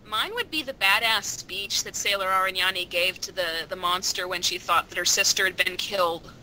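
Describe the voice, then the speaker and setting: A woman's voice: mid-range voice, moderate pace, pushed and bright. A woman chatting casually as an interviewed cast member on an online voice call. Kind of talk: casual group chat. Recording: faintly noisy online call.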